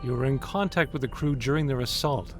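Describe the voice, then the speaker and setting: An actor playing a cold male superior, calmly and accusingly questioning a subordinate. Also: medium pitch, music in the background, close to an everyday voice. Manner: measured, accusatory. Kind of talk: audio drama acting.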